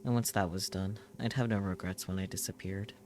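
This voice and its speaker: A man with a low voice narrates a visual novel in the first person. He reads solemnly in short, measured phrases, with faint noise and ambience behind him. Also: fairly even intonation, darkish tone. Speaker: streamer reading a visual novel aloud.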